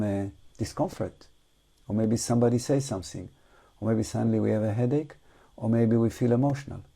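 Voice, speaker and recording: A man who is not a native English speaker guides an unscripted meditation talk, reflective and halting, with long pauses. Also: mid-range voice, mellow. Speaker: meditation teacher.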